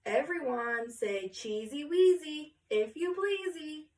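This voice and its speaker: A woman's voice: high voice, animated, slow pace, darkish tone, far from the mic, pitched above the performer's own voice. A woman reading a storybook, voicing a fussy male school photographer who coaxes in sing-song rhymes. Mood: chirpy coaxing. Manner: coaxing, sing-song rhyming.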